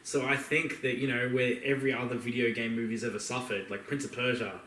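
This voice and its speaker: An Australian man gives his opinions as a podcast co-host in loose, emphatic conversation. His mid-pitched voice comes from some distance off the mic, with plenty of room echo. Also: flat delivery, brisk, pushed and bright, a little hiss or hum. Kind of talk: casual opinionated chat.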